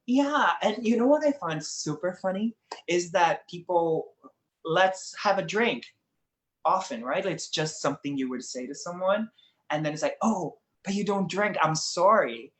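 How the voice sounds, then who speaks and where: A man's voice: sits high, lively intonation, measured pace, a bit bright, echoey room. Earnest Brazilian man, reflective talk. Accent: Brazilian Portuguese. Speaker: guest. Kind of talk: casual conversation.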